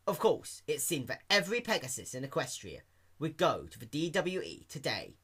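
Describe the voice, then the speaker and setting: A man narrating a story as an amateur fan fiction reader, in an animated scripted style. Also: on the high side, husky.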